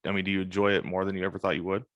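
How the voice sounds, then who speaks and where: A man's voice: sits low, flat delivery, racing, no echo, muffled recording. A man co-hosting a podcast, casually putting questions to a guest. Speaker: podcast co-host, interviewer. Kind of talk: casual chat.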